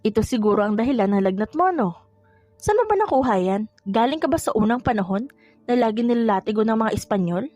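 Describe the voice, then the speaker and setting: A woman's voice: fairly high pitch, animated, fast talker, mellow, no room sound, faint music behind, lightly acted. A woman playing a feisty, playful young female fish vendor in an audio drama, exclamatory, cheeky and easily flustered. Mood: teasing concern.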